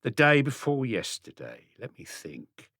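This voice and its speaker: A man's voice: medium pitch, huge pitch swings, unhurried, sharp tone, slightly rough, no room sound, clean studio sound, full character voice. A man voicing a shifty thirty-five-year-old small-time crook, defensive and evasive, in an audiobook mystery. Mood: evasive, indignant.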